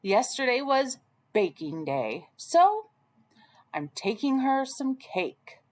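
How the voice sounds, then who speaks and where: An innocent storybook little girl, played by a woman, speaks politely and earnestly. Her pitch rises and falls in big, lively swings, at an unhurried pace. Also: heavily acted.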